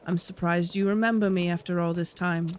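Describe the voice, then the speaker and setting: A man's voice: high voice, steady tone, fairly quick, clean tone, close to an everyday voice. A man voicing a proud, defiant elf woman in an audio drama, with a cold, clipped delivery. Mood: guarded, wry. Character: proud, defiant female elf outcast, centuries old, cold.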